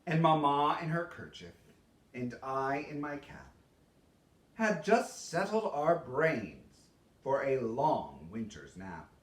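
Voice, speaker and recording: Male storyteller for theatrical verse, higher-pitched, unhurried and dramatic, recorded from a distance. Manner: dramatic, expressive storytelling with deliberate pauses. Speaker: storyteller reading a Christmas poem. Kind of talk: theatrical poetry recitation. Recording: roomy echo, distant microphone.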